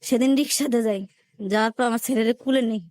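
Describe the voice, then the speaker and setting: A woman's voice: on the high side, steady tone, fast, a little mellow, no room sound. A woman with a colloquial Dhaka-area Bangladeshi accent tells her own life story emotionally, acting out conversations as she goes. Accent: Bangladeshi, colloquial Dhaka-area Bengali. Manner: acts out quoted conversations in dialect.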